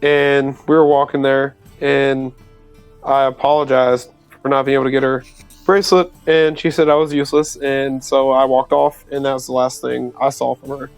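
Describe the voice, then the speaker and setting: A man's voice: medium pitch, little pitch movement, moderate pace, clean tone, no echo, faint music behind. A man playing a tabletop roleplaying game, talking casually and a bit tentatively. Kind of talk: casual in-character roleplay chat.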